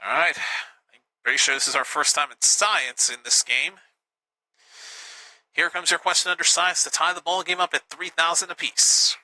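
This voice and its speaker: A man hosting a live game show with an upbeat, teasing manner, a little hoarse. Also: a little high, steady tone, normal speed, pauses often, sharp tone, no echo, rough recording. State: slightly hoarse.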